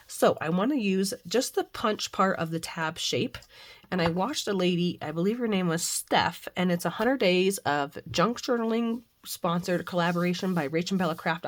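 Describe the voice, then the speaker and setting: A middle-aged woman, hoarse from the flu, chatting casually and rambling a bit as she hosts a faith and crafting video at home. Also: lowish voice. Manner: chatty, rambling with frequent fillers. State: hoarse, recovering from flu with a cough. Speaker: craft channel host. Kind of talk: casual devotional and crafting chat.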